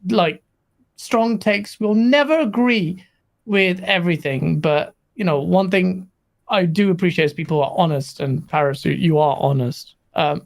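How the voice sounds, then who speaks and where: A man's voice: sits high, unhurried, gravelly. A man co-hosting a casual podcast, joking and playfully combative. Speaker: podcast co-host.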